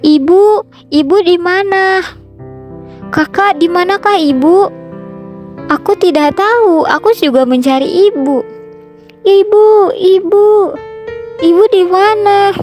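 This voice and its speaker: Small child, very high pitch, drawn-out whiny pleading, slow delivery, storybook tale. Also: female voice, darkish tone, soft background music. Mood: anxious, pleading. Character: whining hungry youngest child, pleading for mother.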